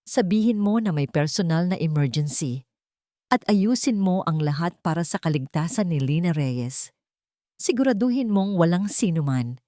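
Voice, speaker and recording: A woman voicing a serious young male businessman who speaks in a grave, hurried way. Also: low-pitched, warm tone, dry recording, clean studio sound.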